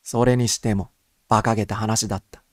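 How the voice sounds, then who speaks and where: A man's voice: lowish voice, fairly even intonation, a little fast, no room sound, studio quality. A man reading a first-person story as a scripted audiobook narration.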